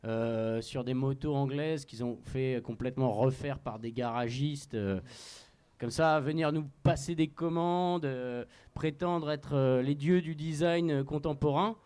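Man, French from France, smooth resonant voice, medium pitch, halting mock-serious interview talk. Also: a little mellow. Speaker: musician guest on a live radio show.

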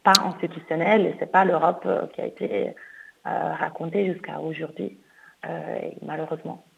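A woman from Italy, a radio guest answering in a halting way, restarting her sentences often. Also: low voice, fairly even intonation, vocal fry, a bit bright. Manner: hesitant, many restarts and fillers. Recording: dull lo-fi radio sound.

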